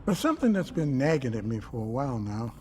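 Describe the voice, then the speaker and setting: A man's voice: medium pitch, sing-song, fast, noisy background, close to an everyday voice. An actor playing a wordy, fatherly resistance leader in a video game, with florid, folksy old-fashioned phrasing. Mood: encouraging, earnest. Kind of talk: video game cutscene acting.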